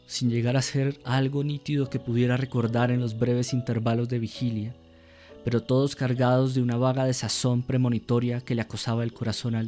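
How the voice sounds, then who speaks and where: A man narrating a literary radio drama in a measured, solemn way. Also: mid-range voice, warm tone.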